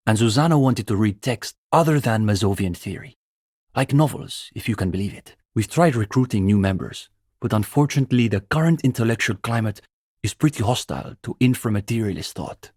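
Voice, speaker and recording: A man's voice: fairly low pitch, expressive, a little fast, a little mellow, husky, no room sound, studio quality. An actor playing an earnest young male student communist in a video game, intellectual, opinionated and dryly pedantic. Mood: earnest, explanatory. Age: young adult. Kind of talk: video game dialogue voice acting.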